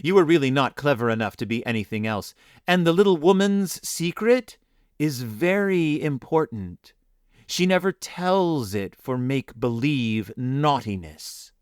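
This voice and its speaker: A man voicing a sly, superior village girl who talks in slow, measured riddles in a storybook reading. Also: mid-range voice, lively intonation, clear, resonant tone, no room sound, studio quality, close to an everyday voice. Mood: dismissive. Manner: slow, measured, mock-wise.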